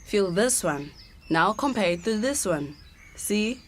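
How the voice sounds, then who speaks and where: A woman's voice: medium pitch, expressive, measured pace, slight background noise, lightly acted. A woman playing a patient young daughter in a radio drama, instructing. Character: patient young daughter teaching her mother.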